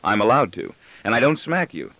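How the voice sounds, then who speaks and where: A man's voice: lowish voice, sing-song, a little fast, sharp tone, dry recording, full character voice, rough recording. A man playing an exasperated, sardonic father with firm, wry retorts in an audiobook story. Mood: reassuring. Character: exasperated, sardonic father sparring with his son.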